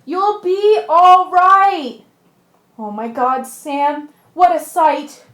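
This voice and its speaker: A woman's voice: very high voice, drawn-out words, a bit bright, full character voice. A woman playing a resolute male ship's mate in an amateur radio drama, earnest and commanding, sometimes stumbling over lines. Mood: concerned, shocked. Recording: echoey room.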